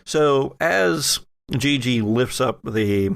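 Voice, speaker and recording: Male game master for horror tabletop audio. Unhurried, deliberate delivery, creaky voice, pauses as the story is built. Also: medium pitch, animated, dry recording, studio quality. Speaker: game master of an actual-play horror podcast. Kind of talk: improvised narration of a tabletop roleplaying game.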